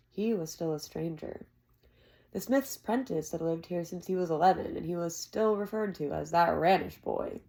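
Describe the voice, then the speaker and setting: A woman with an American accent reading a fantasy novel aloud as expressive scripted narration. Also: sits low, vocal fry. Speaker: amateur audiobook reader.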